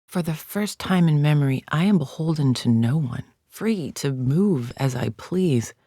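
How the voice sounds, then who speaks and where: A woman's voice: sits low, expressive, unhurried, dark tone, no room sound, clean studio sound. An actress playing a proud, disdainful alien arena champion in a video game, a cold warrior woman with stiff, formal, haughty diction. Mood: solemn, measured. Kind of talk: scripted video game character lines.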